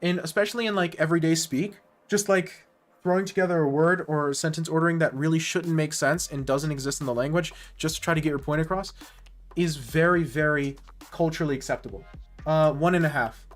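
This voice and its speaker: A man's voice: a little high, fairly quick, faint music behind. A man streaming live and chatting casually with viewers, rambling and correcting himself mid-sentence with nerdy enthusiasm. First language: English.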